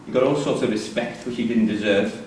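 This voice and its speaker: An actor in a live staged reading playing a guarded, bitter prisoner who talks in a colloquial, needling way. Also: male voice, on the low side, fast, darkish tone, close to an everyday voice. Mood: needling, defensive. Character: guarded, bitter male prisoner with a killing behind him. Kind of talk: live theatre acting. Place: theatre performance space in Salford. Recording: echoey hall, distant mic, faint noise.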